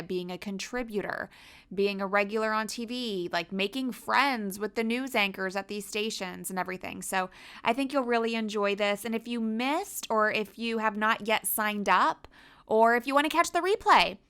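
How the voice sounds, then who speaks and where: A woman's voice: medium pitch, sharp tone, smooth. A woman hosting a podcast and coaching clients on media, talking in an enthusiastic, promotional way. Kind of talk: upbeat podcast interview.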